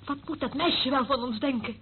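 An actress playing a haughty, prim, morally outraged general's daughter in a Dutch radio drama, speaking reproachfully. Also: female voice, high voice, moderate pace, lightly acted. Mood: indignant. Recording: tinny, narrow-band old radio recording, faint noise.

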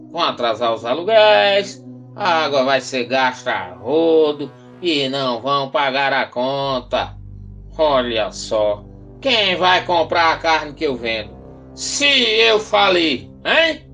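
An actor playing a furious, pompous, all-powerful landowning major in a radio play. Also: male voice, fairly high pitch, very animated, slow, pushed and bright, soft background music, exaggerated voice. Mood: indignant, furious. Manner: pompous, domineering.

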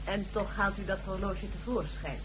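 An actress playing a calm young woman doctor with mind powers, speaking coolly and plainly in an old radio play. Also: mid-range voice, normal speed, slight background noise, close to an everyday voice, rough recording. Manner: cool, calm, matter-of-fact. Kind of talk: 1970s radio drama acting.

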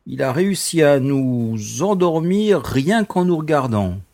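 A man's voice: fairly high pitch, very animated, measured pace, darkish tone. A man playing an impressed, wary fugitive in an audio drama. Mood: amazed.

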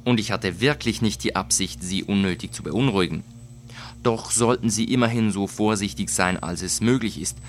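A man's voice: on the low side, normal speed, a little hiss or hum, close to an everyday voice. A man playing a cunning nobleman in a story reading, courteous and insistent with feigned concern.

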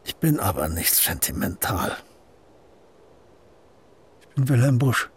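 An actor playing an aging male painter and poet in a radio play, speaking in a husky voice with a dry, reserved and curt manner. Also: medium pitch, very animated, slow pace, heavily acted. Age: older.

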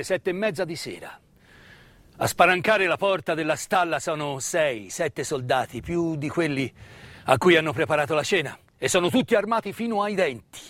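A man giving a dramatic theatrical narration of a wartime story, measured and emphatic, with pauses and hushed phrases. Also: fairly high pitch, a little hiss or hum. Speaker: stage actor and storyteller. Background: live musical accompaniment.

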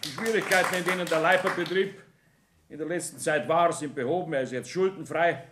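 An actor playing a pompous small-town builder, giving a stiff, formal speech in a radio drama. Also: male voice, on the high side, brisk, background noise, natural voice. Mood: businesslike. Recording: roomy 1960s radio studio.

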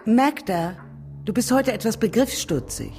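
An actress playing a dry, sardonic older aunt of a wealthy family in a radio drama. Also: female voice, a little high, big pitch swings, unhurried, music in the background. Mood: brisk, sardonic.

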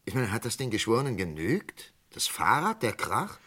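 A man's voice: on the low side, big pitch swings, normal speed, pushed and bright, breathy edge, exaggerated voice. An actor playing a concerned, puzzled psychiatrist in a radio crime play, hesitant and probing, breaking off his sentences. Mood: uneasy, probing.

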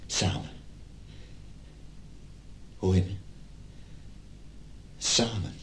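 Pleading husband, deep voice, radio drama, earnest, emotional, persuasive, echoing room. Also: male voice, expressive, very slow, exaggerated voice. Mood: hopeful, urgent. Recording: roomy sound with background rumble.

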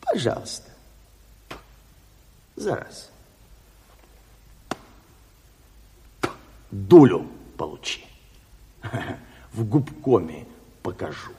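Brazen, slow-drawling Ukrainian swindler. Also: male voice, lowish voice, wildly swooping pitch, warm tone, full character voice. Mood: mocking, cocky. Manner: cocky, wheedling, quarrelsome drawl. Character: brazen Ukrainian swindler posing as a revolutionary invalid. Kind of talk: Soviet radio drama acting. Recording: reverberant studio radio play recording.

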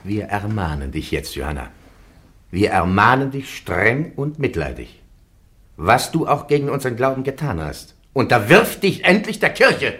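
A man's voice: fairly low pitch, sing-song, fairly bright tone. An actor playing a bishop who formally admonishes and charges an accused woman. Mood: solemn. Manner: solemn, formal, measured. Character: solemn bishop presiding over a trial. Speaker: bishop and judge. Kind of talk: radio play acting.

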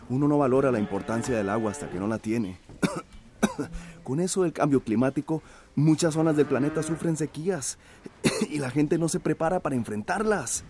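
A man's voice: on the high side, normal speed, darkish tone, faint music behind, natural voice. An actor playing a reflective, coughing village man in a radio soap, with a Central American Spanish accent. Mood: sober, concerned. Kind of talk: radio soap opera acting.

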